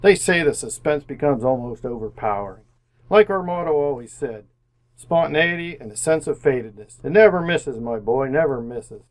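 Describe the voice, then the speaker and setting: A man's voice: mid-range voice, animated, normal speed, natural voice, rough recording. A man acting in an old-time style radio drama as a slick, chummy salesman, glib and emphatic. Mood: brash, pleased. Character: slick, chummy romance-agency salesman with boundless confidence.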